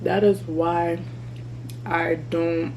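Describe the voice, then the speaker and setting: Woman in her thirties, vlogging over a meal, mellow voice, faint hum behind. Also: on the low side, flat delivery, very slow. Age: 30s. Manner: candid, chatty, eating while talking. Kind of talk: casual mukbang vlog chat. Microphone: camera mic.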